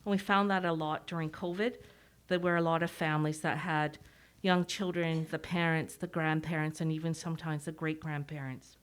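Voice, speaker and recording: A woman's voice: deep voice, little pitch movement, normal speed, clean tone. A middle-aged woman with a Canadian accent giving an informal, self-deprecating public presentation, speaking through a mask. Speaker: executive director giving a presentation. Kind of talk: public presentation, partly read from notes.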